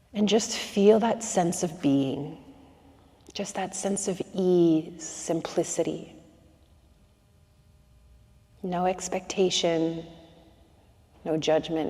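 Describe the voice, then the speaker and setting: Calm woman breath coach, slightly low voice, soothing and unhurried, reverberant space. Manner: slow, soothing, calm instructions with long pauses. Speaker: breathwork instructor. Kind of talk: guided breathing meditation.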